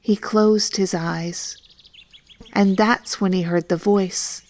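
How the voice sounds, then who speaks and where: A woman narrating a scripted children's story in a deliberate, expressive storytelling manner. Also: mid-range voice.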